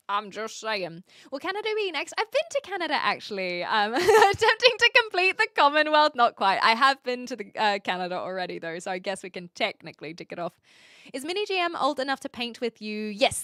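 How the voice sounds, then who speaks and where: A woman's voice: medium pitch, pushed and bright, clear, resonant tone, no echo, clean studio sound. A young woman streamer in her twenties, from Australia, chatting casually and rambling as she reads out viewer comments, often giggling.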